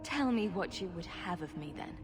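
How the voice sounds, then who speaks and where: An actress playing a confident adventurer heroine in a video game, speaking in a wry, direct, probing way. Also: female voice, on the low side, lively intonation, normal speed, over music, lightly acted. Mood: firm, probing. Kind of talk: dramatic video game acting.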